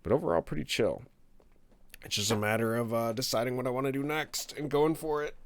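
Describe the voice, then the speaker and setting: A man's voice: mid-range voice, a little fast, a little hiss or hum. A man streaming from his home office, chatting casually with viewers and sniffling a little from a cold. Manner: relaxed, rambling, reads chat aloud and answers. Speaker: game developer streamer. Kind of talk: casual livestream chat.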